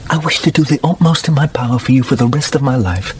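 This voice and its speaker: An actor playing a remorseful, earnest gentleman father in a radio drama, speaking in a halting, regretful way. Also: mid-range voice, close to an everyday voice. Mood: earnest, pleading. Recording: noisy radio drama recording.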